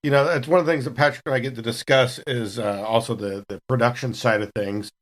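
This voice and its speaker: Mid-pitched man chatting casually. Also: steady tone, fast talker. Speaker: podcast cast member and producer. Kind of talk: casual chat about projects.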